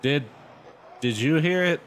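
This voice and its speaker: A man's voice: medium pitch, lively intonation, moderate pace, a bit bright, some chatter behind, a little hiss or hum, voice pushed up. A man voicing a frightened, hysterical young girl who pleads with her twin in a storybook read-aloud. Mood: anxious. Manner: stammering, shrieking, pleading.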